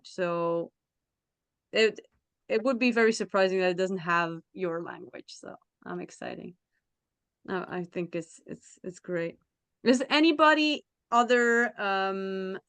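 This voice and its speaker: A woman's voice: mid-range voice, a bit bright, clear, resonant tone, dry recording. A woman from Belgian Flanders hosting a casual group discussion in a friendly, enthusiastic way. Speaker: host leading the discussion.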